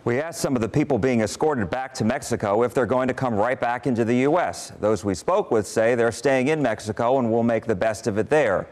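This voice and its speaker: A man's voice: mid-range voice, a little fast, few pauses, a little mellow. A man working as a television reporter, giving a live news report.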